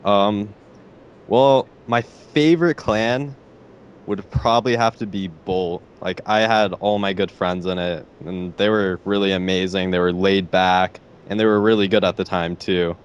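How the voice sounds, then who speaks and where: A teenage boy with a deep voice, answering interview questions hesitantly and filling pauses with "like" and "I don't know.". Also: fairly even intonation, clear, resonant tone, lo-fi sound. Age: teens. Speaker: interviewee, gamer.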